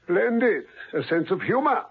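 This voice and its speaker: A gloating mad-scientist villain, husky and fairly high, his voice rising and falling with theatrical relish. He mocks his captive in smooth, unhurried menace. It comes through a tinny, narrow old radio broadcast. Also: sing-song, exaggerated voice. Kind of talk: 1970s radio drama acting.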